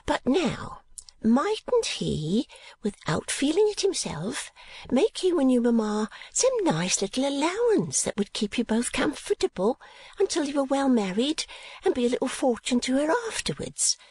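A woman voicing a shrewd, chatty older female miniature painter in a high, gossipy, exclamatory voice. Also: sing-song, unhurried, a little mellow, breathy edge, no echo, heavily acted.

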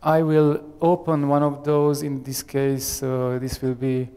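A man's voice: medium pitch, completely flat delivery, a little slow, warm tone, clean tone. Romanian-accented man demoing in a hall. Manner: hesitant, many fillers and restarts. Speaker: software architect giving a demo. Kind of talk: live tech demo presentation. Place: large conference room with audience. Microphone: headset mic. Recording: long reverb of a large hall.

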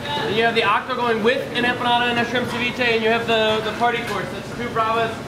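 A woman working as a kitchen expediter in a busy restaurant kitchen, calling out orders in loud, clipped phrases with a steady rhythm. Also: on the high side, fast talker. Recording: echoey kitchen, clear background noise, mic far off.

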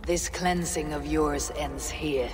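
An actress playing a stern, blunt young Viking warrior woman in a video game, grave and deliberate. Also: sits low, fairly even intonation, background noise. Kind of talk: video game cutscene acting.

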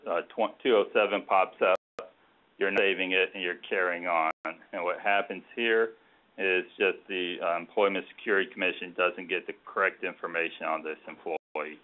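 A man with a fairly low voice leads a webinar over a narrowband phone connection. He explains things informally, in a halting delivery with many hesitations. The sound is thin and tinny. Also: steady tone. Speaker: presenter on an HR payroll conference call. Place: conference call by phone.

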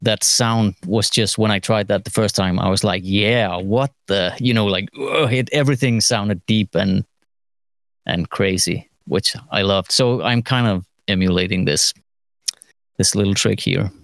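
Low-pitched man, halting and musing. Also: no room sound, studio quality. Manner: halting, musing, trailing phrases. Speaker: mixing engineer giving a lesson. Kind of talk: informal studio tutorial, thinking aloud. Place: recording studio, seated at desk.

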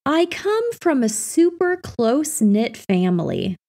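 A woman reading everyday practice phrases for an English lesson in a clear, carefully enunciated scripted delivery. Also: a little high, very animated, a little slow, warm tone.

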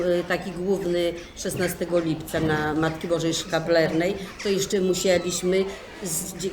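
An older woman, recalling the past casually and searching for her words. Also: mid-range voice. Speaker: senior sharing memories. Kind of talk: casual spoken reminiscence. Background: music.

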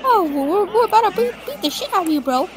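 A woman's voice: very high-pitched, very animated, fast. A woman voicing a crude, aggressive cartoon animal hero who swears and taunts in an improvised comedy game fandub. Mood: taunting.

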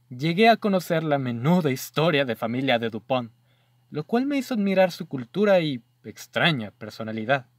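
Earnest young gentleman narrator, slightly high, dry, played by a man. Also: dry recording, close to an everyday voice. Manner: earnest, easily astonished. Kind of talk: radio drama narration and dialogue. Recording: dry voice over soft music.

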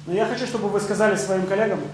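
A man's voice: high-pitched, fairly even intonation, rapid-fire. A young man working as a consecutive interpreter at a seminar, rendering a speaker's talk phrase by phrase. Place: seminar room with seated audience. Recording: very echoey room, noisy.

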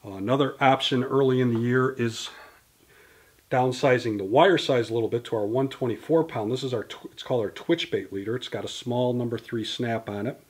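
A man's voice: mid-range voice, a little fast, husky. Man, fifties, tackle company guest; detailed, explanatory delivery, filmed on a camera mic in a small indoor studio. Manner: detailed, explanatory, gesturing with products in hand. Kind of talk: product explanation interview. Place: indoor studio in front of a banner.

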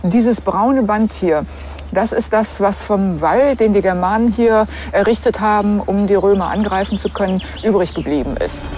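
A woman's voice: medium pitch, moderate pace, background noise. A woman explaining finds as an archaeologist in an unscripted documentary interview.

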